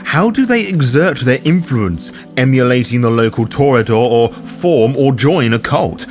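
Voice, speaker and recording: A man's voice: mid-range voice, lively intonation, measured pace, a little mellow, soft background music. A man hosting a game guide, reading scripted explainer narration with a wry, casual manner. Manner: wry, casually sweary asides. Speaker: host of a roleplaying game guide.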